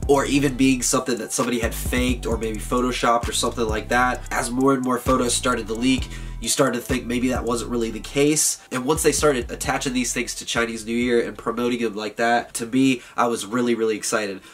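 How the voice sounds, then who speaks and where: A man in his thirties with a stuffed-up, nasal and slightly raspy voice, talking through a sneaker review in a loose, slangy and enthusiastic way. His voice sits at an ordinary middle pitch for a man. He is recorded close up in an indoor room, with faint music playing under his speech. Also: fairly even intonation, fairly quick, fairly bright tone. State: congested with a cold. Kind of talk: casual product review. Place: indoor room lined with shoe boxes.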